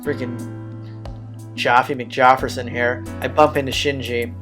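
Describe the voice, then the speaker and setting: Casting: male let's-play host reading visual novel text aloud, mid-pitched, with faint game music underneath. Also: flat delivery, unhurried, fairly bright tone.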